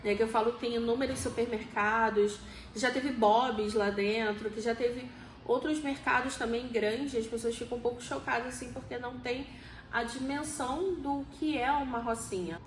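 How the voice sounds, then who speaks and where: A woman of forty with a Rio de Janeiro accent gives conversational interview answers in a fairly high voice. She explains things and often seeks agreement. The room is echoey, with faint noise behind her. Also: steady tone, normal speed. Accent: Rio de Janeiro Brazilian Portuguese. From Rio de Janeiro, Brazil. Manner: explanatory, frequently seeks agreement with tag questions.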